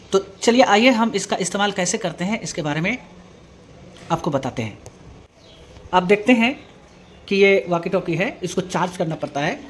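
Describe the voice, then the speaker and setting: A man presenting a hands-on tech tutorial, explaining step by step in a halting, repetitive way. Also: high-pitched. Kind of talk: hands-on product demonstration. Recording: clearly noisy room recording with ambience.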